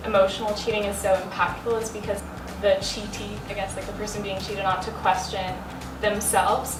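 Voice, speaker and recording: A woman's voice: medium pitch, level tone, normal speed, background noise. A nineteen-year-old woman chatting thoughtfully on a studio sofa, saying like often. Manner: thoughtful, uses like a lot. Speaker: panel guest. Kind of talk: candid casual chat. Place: studio set with sofa, indoors. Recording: roomy, distant, music bed.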